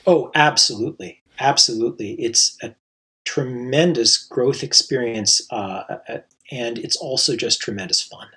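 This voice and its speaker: Guy composer talking it through, super breathy husky voice, lots of ums. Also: mid-range voice, bright, forceful tone, low-quality recording. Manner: thoughtful, halting, many fillers and restarts. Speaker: composer guest. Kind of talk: reflective interview answers.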